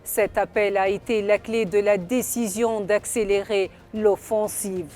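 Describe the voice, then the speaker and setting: A woman narrating a scripted news report in a formal, measured delivery. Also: mid-range voice, fairly even intonation. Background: background music.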